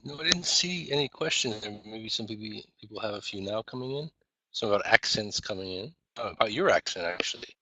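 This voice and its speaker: A man co-hosting an online session, heard from away from the microphone. His voice is low, husky and bright-edged, with dry sound and faint clicking behind it. He passes on audience questions in an offhand way. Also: animated, brisk, dry recording, recorded from a distance, rough recording. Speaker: webinar co-host. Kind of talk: casual remark on a call.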